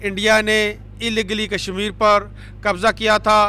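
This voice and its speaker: A man's voice: high voice, little pitch movement, moderate pace, sharp tone. A man in his thirties making an emphatic, rhetorical statement into a handheld mic on a street. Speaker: campaigner. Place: city street outdoors.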